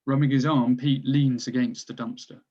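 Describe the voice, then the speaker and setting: A man's voice: medium pitch, flat delivery, moderate pace, darkish tone. Male narrator, slightly dull recording. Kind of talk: scripted narration of stage directions.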